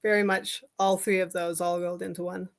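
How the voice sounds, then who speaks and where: A woman's voice: lowish voice, little pitch movement, a little fast, vocal fry. A woman chatting casually as an interview guest, brief and understated. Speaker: guest, fiction writer and artist.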